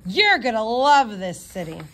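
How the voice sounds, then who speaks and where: A woman's voice: a little high, very animated, measured pace, a bit bright, slight background noise, exaggerated voice. A woman voicing a chatty young girl spy in a storybook, with a casual, youthful manner. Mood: friendly.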